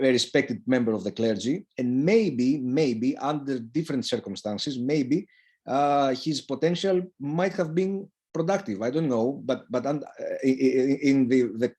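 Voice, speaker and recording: Halting male academic lecturer. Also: mid-range voice, mellow. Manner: halting, repeats words, emphatic. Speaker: academic speaker. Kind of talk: lecture-style academic presentation.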